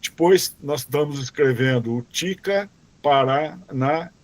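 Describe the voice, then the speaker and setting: A man teaching a robotics class online, explaining code slowly and patiently, often checking in with "tá?" and "ok?" and correcting himself as he reads commands aloud. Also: a little high. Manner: restarts and self-corrections while reading code aloud.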